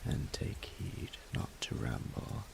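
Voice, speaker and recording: A man voicing an ancient, haughty and flirtatious male dragon in a roleplay audio drama, speaking in a formal, imperious, deliberate way. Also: background noise, natural voice. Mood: hospitable, commanding. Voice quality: breathy, gravelly low voice.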